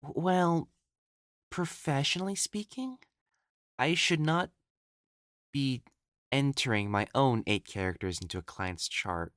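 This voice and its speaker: A man playing an elegant, proper matchmaker in a dramatic audio roleplay, speaking formally and haltingly as he hides his jealousy. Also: mid-range voice, a bit bright. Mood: flustered, agitated. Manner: formal, halting, stammering when flustered.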